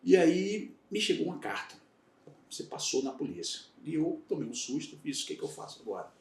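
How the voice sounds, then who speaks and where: A man's voice: fairly high pitch, sing-song, fairly quick. Bahian man telling candid stories. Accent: Bahian Brazilian Portuguese. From Salvador, Bahia, Brazil. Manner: reflective, candid storytelling with frequent restarts. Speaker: guest, retired federal police agent. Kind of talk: casual podcast interview. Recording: roomy echo, voice heard from a distance.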